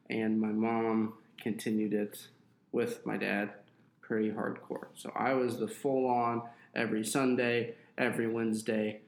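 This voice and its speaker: A man's voice: medium pitch, gravelly, roomy sound. A young adult man co-hosting a podcast, chatting casually and rambling a little with fillers. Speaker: podcast co-host.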